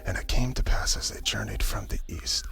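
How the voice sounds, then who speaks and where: A man reading scripture as a hushed, breathy ASMR narration in a whispery voice. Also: fairly quick. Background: background music.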